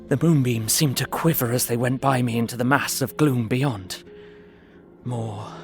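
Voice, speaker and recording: Casting: man to play a terrified, earnest young captive recounting horrors, mid-range voice, gothic audio drama, soft underscore. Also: mid-range voice, natural voice. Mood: rising panic. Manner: earnest, intense, haunted. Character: frightened, despairing young Englishman imprisoned, writing his journal. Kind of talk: dramatic first-person audio drama narration.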